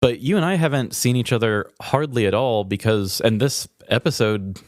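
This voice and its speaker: A man's voice: medium pitch, moderate pace, no room sound, clean studio sound. A man co-hosting a podcast, chatting in an informal, reflective way. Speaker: podcast co-host. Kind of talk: casual podcast chat.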